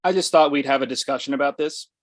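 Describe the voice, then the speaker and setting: A man's voice: mid-range voice, quick pace, dry recording, studio quality, close to an everyday voice. A man playing a mad, self-important news editor in his forties, speaking formally and pompously in a script read. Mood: indignant. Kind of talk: screenplay table read.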